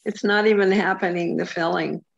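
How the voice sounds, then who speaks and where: A woman's voice: fairly low pitch, fairly even intonation, normal speed, vocal fry, dry recording, muffled recording. A woman in a casual group chat over a video call, joking and laughing often.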